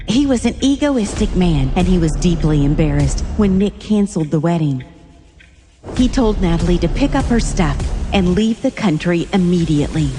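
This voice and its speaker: A woman's voice: on the low side, long reverb tail. A woman reading scripted narration for a dramatic story.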